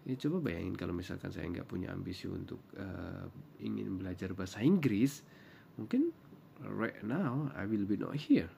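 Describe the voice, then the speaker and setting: A man from Java talks through language-learning tips in a loose, halting way, hopping between two languages. His Javanese accent colors every word. The voice sits low with a gravelly edge and a dark, mellow tone. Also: lively intonation, a little hiss or hum. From Java, Indonesia. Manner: mixes English and Indonesian, hesitant with many fillers. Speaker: vlogger giving tips. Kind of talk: casual commentary.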